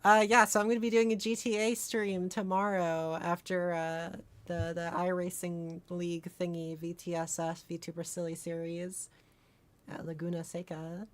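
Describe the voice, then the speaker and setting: A woman's voice: fairly low pitch, little pitch movement, moderate pace. A woman hosting a livestream in casual chat, hesitant and often repeating her words, with music behind her. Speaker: livestream host, vtuber streamer.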